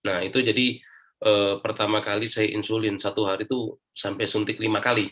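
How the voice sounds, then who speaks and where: A man with a fairly low voice and an Indonesian accent speaks as an interview guest. He gives his own account casually, explaining it step by step in colloquial speech. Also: flat delivery, fairly quick, a bit bright. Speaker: interview guest giving a personal testimonial. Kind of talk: casual spoken personal account.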